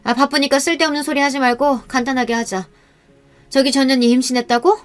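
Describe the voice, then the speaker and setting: A woman voicing a haughty, contemptuous rich older mother who scolds and sneers, over soft background music. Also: high-pitched, little pitch movement, quick pace, pitched above the performer's own voice, lightly acted.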